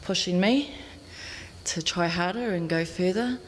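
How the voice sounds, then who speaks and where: A chatty woman with a New Zealand accent introduces herself on an art panel and laughs easily. Her voice is slightly low. She speaks into a stand mic in a gallery with long reverb and faint hiss. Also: a little slow. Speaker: panelist, fibre artist. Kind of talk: casual self-introduction.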